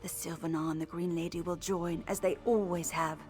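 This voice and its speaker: An actress playing a solemn, cryptic elven seer and storyteller in a video game. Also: female voice, fairly low pitch, little pitch movement, brisk, darkish tone, soft background music, natural voice. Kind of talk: video game quest dialogue.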